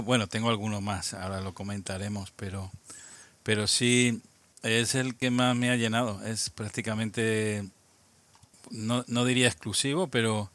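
A man in his sixties from Albacete with a Spain Spanish accent, a guest looking back on his past, speaking haltingly with frequent restarts. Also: on the low side, fairly bright tone, no room sound. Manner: halting, many restarts and repeated words, reflective. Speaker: guest, amateur radio operator. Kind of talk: casual interview, reminiscing.

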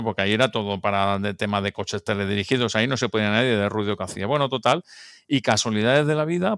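Chatty low-voiced man from Barcelona. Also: fairly bright tone, no room sound, clean studio sound. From Barcelona, Spain. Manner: chatty, rambling, laughs and restarts often. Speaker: radio amateur guest. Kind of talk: casual interview storytelling.

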